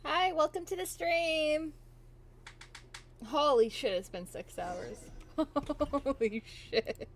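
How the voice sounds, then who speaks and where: A woman's voice: sits high, takes it slowly, soft background music. A woman chatting casually as a game streamer on a livestream.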